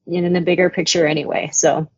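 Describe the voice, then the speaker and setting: Woman singer being interviewed, low voice, blunt and reflective, dull dry podcast sound. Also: level tone, a little fast, breathy edge, no echo. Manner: frank and reflective, swears casually. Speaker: musician guest. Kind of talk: candid interview talk.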